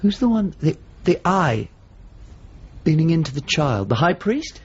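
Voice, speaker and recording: A male actor playing a middle-aged painter in a radio drama, measured, inquiring and a little hesitant, with a husky voice. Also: on the high side, very animated, lo-fi sound, exaggerated voice. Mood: curious. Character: Italian painter, probing visitor seeking an old friend. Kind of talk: naturalistic radio drama acting.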